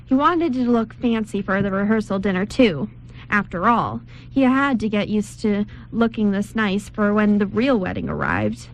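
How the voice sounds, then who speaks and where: A woman narrating a scripted amateur radio play with careful, deliberate reading. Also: mid-range voice, sing-song, slight background noise, rough recording.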